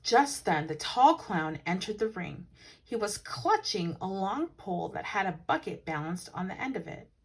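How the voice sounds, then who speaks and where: A woman reading a children's picture book aloud as a teacher, with animated, dramatic emphasis. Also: medium pitch, moderate pace, breathy edge. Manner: animated, dramatic emphasis with hushed asides to listeners.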